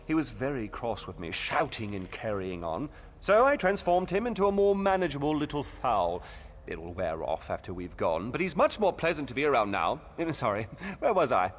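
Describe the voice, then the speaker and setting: A man's voice: medium pitch, sing-song, moderate pace. An actor playing an eccentric, absent-minded old wizard scholar in a video game, cheerful and pompous as he lectures. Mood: scholarly, excited. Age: older. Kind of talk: theatrical video game dialogue. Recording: echoing long reverb.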